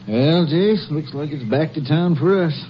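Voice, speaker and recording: A man's voice: on the high side, a little slow, natural voice. Folksy radio sheriff played by a man, muffled recording. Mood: puzzled. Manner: folksy, questioning. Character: folksy, skeptical small-town Texas sheriff. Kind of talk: 1950s radio drama acting. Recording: dull old radio recording, faint noise.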